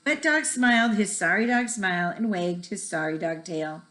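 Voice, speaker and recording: A woman's voice: mid-range voice, moderate pace, fairly bright tone. A woman reading a children's picture book aloud expressively, with a rhythmic delivery that draws out repeated words. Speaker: read-aloud storyteller. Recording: echoey room.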